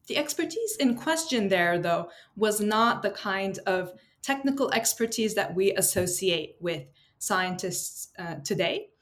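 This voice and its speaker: Thoughtful woman from Turkey, halting. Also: medium pitch, lively intonation. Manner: thoughtful, many ums and restarts. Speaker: political science professor, guest. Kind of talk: academic interview answers.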